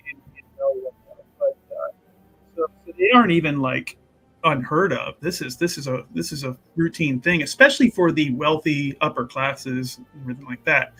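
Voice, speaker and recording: A man's voice: on the high side, breathy edge, low-quality recording. A man telling his part of a tabletop game to viewers in a casual, rambling, emphatic way, as a player. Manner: animated, emphatic, rambling and repetitive.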